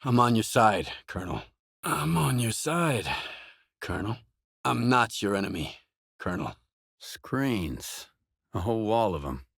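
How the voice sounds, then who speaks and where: An actor playing a gruff, cynical and weary stalker in a video game, terse and sardonic in manner, with a husky voice. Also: male voice, lowish voice, very animated, measured pace, long pauses, a bit bright, no room sound, studio quality, exaggerated voice. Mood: wary, dry. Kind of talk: video game voice lines, dramatic acting.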